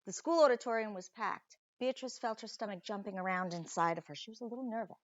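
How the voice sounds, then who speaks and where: A woman reading a children's story aloud expressively, with deliberate dramatic pauses and chatty asides to young listeners, like a teacher. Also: mid-range voice, lo-fi sound.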